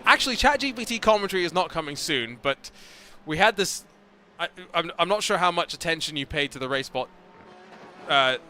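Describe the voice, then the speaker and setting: A man's voice: fairly high pitch, expressive, bright, forceful tone. A man commentating a sim race, chatting and joking with his co-commentator. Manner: chatty, joking, quick-witted. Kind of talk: live race commentary chat. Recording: noisy commentary mic.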